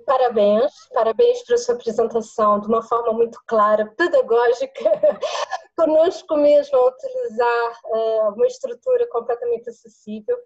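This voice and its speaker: A woman with a Latin American accent, asking a long, animated question during an online seminar and laughing between phrases. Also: on the high side, moderate pace, highs cut off. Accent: Latin American Portuguese. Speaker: audience member asking a question. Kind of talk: webinar question.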